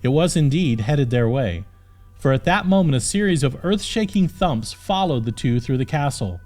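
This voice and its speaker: A man's voice: mid-range voice, lively intonation, moderate pace, darkish tone, faint music behind. A man narrating a scripted comic fantasy audiobook in a dramatic storytelling manner.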